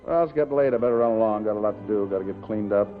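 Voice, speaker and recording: An actor playing an intense, haunted newspaper columnist with an American accent, urgent and emphatic, in a 1950s television drama. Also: male voice, lowish voice, rapid-fire, soft background music, close to an everyday voice. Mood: wry. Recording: dull, muffled 1950s television soundtrack.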